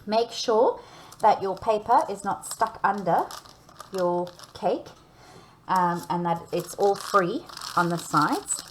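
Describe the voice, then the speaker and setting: A woman's voice: medium pitch, slow, a little hiss or hum, rough recording. A woman giving a hands-on baking demonstration, talking learners through each step in a chatty, instructional way and often checking in. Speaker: cooking and baking teacher.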